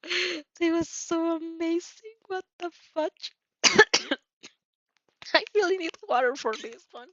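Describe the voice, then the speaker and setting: A woman's voice: very high voice, expressive, slow, long pauses, a bit bright, no room sound, lo-fi sound. A woman narrating fan fiction expressively, giggly and tripping over words, with spontaneous reactions.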